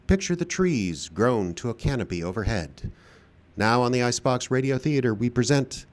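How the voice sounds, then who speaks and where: A man hosting a live radio theater show, delivering theatrical scripted narration like a showman. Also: mid-range voice. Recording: live show with faint background noise.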